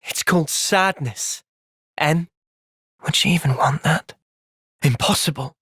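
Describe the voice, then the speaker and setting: A man's voice: fairly high pitch, unhurried, fairly bright tone, husky, dry recording, studio quality, full character voice. A man playing an earnest, thoughtful young soldier hero with a British accent in a video game. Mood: gentle, reflective. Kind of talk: video game cutscene voice acting.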